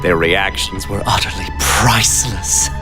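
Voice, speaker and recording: An actor playing a gleeful, devious villain in a video game, speaking in flowery mock-politeness with theatrical, drawn-out words. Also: male voice, sits low. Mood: gloating, smug. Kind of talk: anime-style video game villain acting. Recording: dramatic game music behind.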